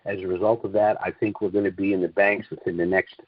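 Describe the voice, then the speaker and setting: A man giving an informal, opinionated monologue on a conference call, speaking emphatically and deliberately, repeating phrases for weight. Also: deep voice, little pitch movement, breathy edge, no echo. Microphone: conference call line. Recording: dull, dry, lo-fi conference call audio.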